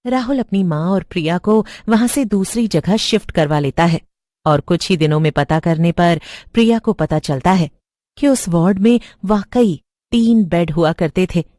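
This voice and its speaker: A woman's voice: on the low side, a little slow, dark tone, no echo. A woman reading a scripted horror story as its narrator, recorded close up.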